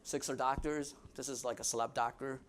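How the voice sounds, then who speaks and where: A man's voice: medium pitch, steady tone, brisk. A young man with a Midwestern American accent giving a casual, joking slide presentation to an audience, with frequent fillers and restarts. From United States. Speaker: guest speaker.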